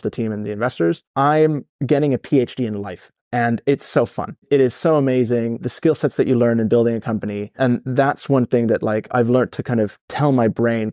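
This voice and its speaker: A Dutch-born man in his thirties talks with an American accent, rambling and energetic. His voice sits at a middling pitch and is recorded dry, close to the mic. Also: no echo. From Netherlands, raised in California. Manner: energetic, rambling, swears casually. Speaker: guest, startup founder. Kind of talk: casual podcast interview.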